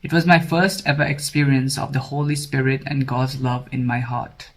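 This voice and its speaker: A man's voice: medium pitch, steady tone, normal speed. Young man reading a formal testimony. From Sri Lanka. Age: 20s. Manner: formal, read-aloud delivery. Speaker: scholarship candidate.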